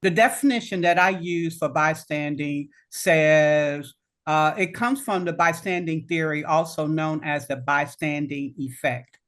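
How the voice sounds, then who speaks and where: A woman from Indiana hosting a podcast interview, reading a scripted introduction in a measured, welcoming way. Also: low-pitched, fairly bright tone. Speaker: podcast host.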